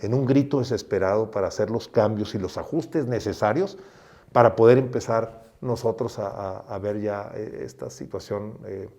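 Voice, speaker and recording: A middle-aged man from Mexico with a low, mellow voice, speaking as a doctor in an interview. He talks earnestly and unhurriedly, often repeating words as he restarts his phrases. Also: normal speed. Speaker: guest doctor.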